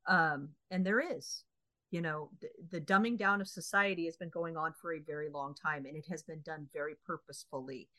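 A woman co-hosting a book podcast, speaking in a measured, opinionated way with thoughtful pauses. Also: low voice, pushed and bright, dry recording. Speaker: podcast co-host. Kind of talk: casual book discussion.

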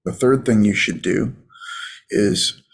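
A man with a lowish, husky voice leads a guided meditation. He talks very slowly and casually, leaving space between short phrases in a soothing, unhurried way. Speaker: meditation coach.

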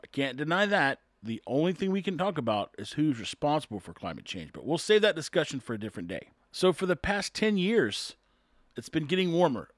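A man's voice: a little high, big pitch swings, brisk, no room sound, clean studio sound. A man talking casually on his own and reading an article aloud, chuckling often, rambling and tripping over words.

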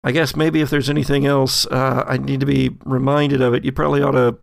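Casual spirit companion for horror roleplay, voiced by a man, gravelly and offhand. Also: medium pitch, little pitch movement, a little fast, dry recording, natural voice. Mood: casual, unsure. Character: Skeen, laid-back, casual spirit companion of the dead.

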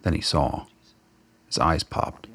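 A man's voice: slow pace, a bit bright. A man reading scripted dramatic narration as the narrator of a radio play.

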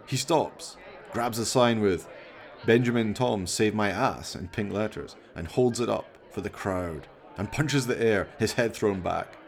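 A man's voice: fairly low pitch, unhurried, vocal fry. A man reading dramatic scripted narration for a radio play.

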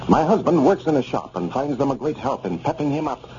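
A male announcer from 1930s radio delivers polished sponsor copy with lively, swooping intonation. His tone is smooth-edged and dark. The recording is dull, with faint noise. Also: mid-range voice, brisk. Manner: polished, formal.

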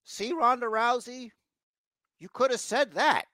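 A man's voice: very high-pitched, unhurried, pushed and bright, no echo. A man hosting a podcast, giving a casual, rambling recap with mock exasperation and repeated phrases for emphasis. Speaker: podcast host recapping wrestling.

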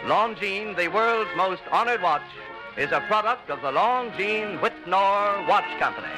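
A man's voice: sits high, lively intonation, a little slow, low-quality recording. A man reading a formal vintage radio advertisement as the commercial announcer. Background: music.

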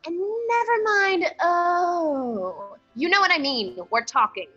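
A pushy young newspaperwoman from a 1940s radio comedy as acted by a woman. Her voice is high and bright, swinging widely as she bosses and exclaims impatiently. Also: expressive, slow, highs cut off, soft background music, full character voice. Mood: bossy, insistent. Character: pushy, fiery young woman reporter and fiancée.

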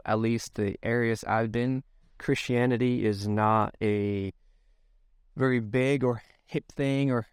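A man's voice: mid-range voice, smooth, dry recording, clean studio sound. A man in his thirties from Sweden with a Swedish accent, speaking English, reflecting as a podcast guest with many fillers and restarts. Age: 30s. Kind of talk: casual podcast interview.

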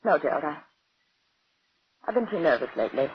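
An actress playing a scheming wife, solicitous on the surface and edgy underneath, in theatrical 1940s radio drama style. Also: female voice, sits low, sing-song, brisk. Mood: falsely sweet, nervous. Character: scheming wife, nervously feigning sweetness to her husband. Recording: dull, hissy 1940s radio recording.

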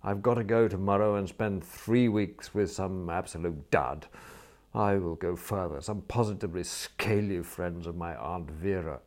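Gloomy young upper-class English gentleman, male reader in role: deep voice, melodramatic, sorrowful. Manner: mournful, melodramatic.

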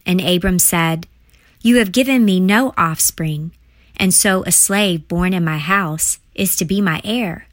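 Slow, low-voiced woman reading scripture. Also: no room sound. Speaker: scripture reader.

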